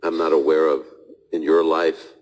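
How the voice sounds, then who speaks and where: Male host, very low voice, thoughtful and hedging, live audience Q&A. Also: little pitch movement, normal speed. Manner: thoughtful, hedging, frequent restarts. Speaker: radio host answering audience questions. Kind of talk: live call-in Bible Q&A.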